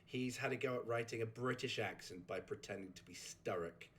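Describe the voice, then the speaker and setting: A man with a fairly low voice hosts a relaxed recap chat. He rambles and chuckles, often breaking off to restart a sentence. His voice is just getting over a sore throat. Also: a little fast. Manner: rambling, chuckling, self-interrupting. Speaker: host. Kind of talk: casual chat recapping a game community.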